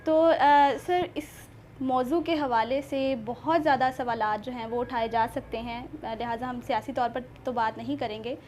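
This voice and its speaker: Pakistani lady host, highish voice, polite n formal, bit hesitant, noise in the background. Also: fairly even intonation, normal speed, darkish tone. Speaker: talk show host.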